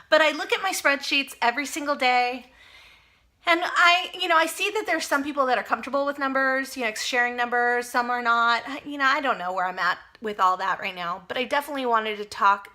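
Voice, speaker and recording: A woman in her forties talks in a loose, rambling vlog style, full of fillers and asides. Her voice sits a little high and sounds bright and crisp. Spanish was her first language. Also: brisk. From United States. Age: 40s. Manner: chatty, rambling, self-deprecating with frequent fillers. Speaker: vlogger. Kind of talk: casual personal vlog talk.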